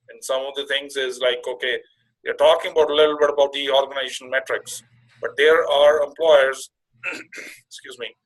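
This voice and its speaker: Halting man giving an informal walkthrough. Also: mid-range voice, fairly bright tone, poor audio. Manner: halting, often repeats and restarts phrases. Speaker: career coach presenting to conference call members.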